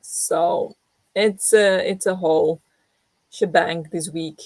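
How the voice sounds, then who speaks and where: A young woman who has just woken up talks rambling and unhurried to her viewers, full of fillers and restarts. Her voice sits a little low and sounds groggy. The room sound is dry and close. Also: steady tone, no room sound, low-quality recording. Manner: rambling, self-deprecating, many fillers and restarts. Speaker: book vlogger hosting a reading sprint. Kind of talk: casual chat to viewers.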